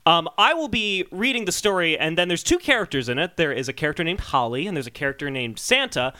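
A man hosting a comedy podcast, bantering casually and sometimes reading a story aloud in an excitable, mock-earnest way. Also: on the high side, fast talker, no echo.

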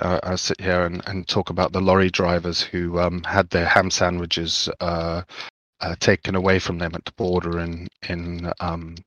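Low-voiced halting man from Zimbabwe. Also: a bit bright, no echo. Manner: halting, repeats words, thoughtful. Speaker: podcast co-host. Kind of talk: casual chat.

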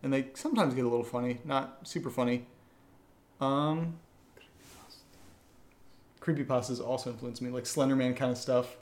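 Casual man with a mid-pitched voice. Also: moderate pace, pauses often, a little mellow. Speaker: audio drama writer, co-host reading listener questions. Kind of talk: casual Q&A chat.

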